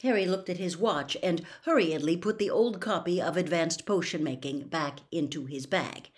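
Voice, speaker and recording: A woman's voice: fairly low pitch, normal speed. A woman reading a novel aloud as a storybook narrator. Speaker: audiobook reader.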